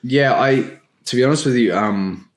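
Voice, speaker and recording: A man's voice: mid-range voice, normal speed. A man in a casual group chat, talking in a blunt, rambling, slangy way. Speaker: podcast panelist.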